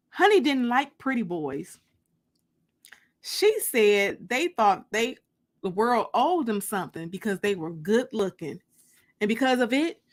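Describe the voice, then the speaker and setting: A woman's voice: fairly high pitch, a bit bright. A woman reading her own novel aloud as a dramatic first-person audiobook narration.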